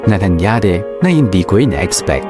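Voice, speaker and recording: Deep-voiced radio drama narrator, telling a personal story over music. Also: male voice, sing-song, normal speed. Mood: storytelling. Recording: music bed under the voice.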